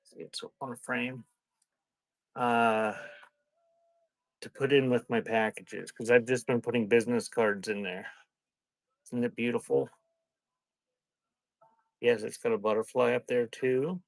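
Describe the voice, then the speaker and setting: A man hosting a casual live sales stream, rambling and thinking out loud in a scattered, conversational way. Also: medium pitch, steady tone, unhurried, gravelly, pauses often, no room sound. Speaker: live-stream seller hosting a sale and unboxing.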